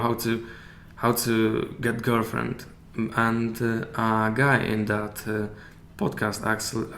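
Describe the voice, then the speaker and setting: A man with a Polish accent, a native Polish speaker, chatting as a podcast guest and often pausing to search for English words. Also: fairly low pitch. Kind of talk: casual interview chat. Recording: echoey room with faint hiss.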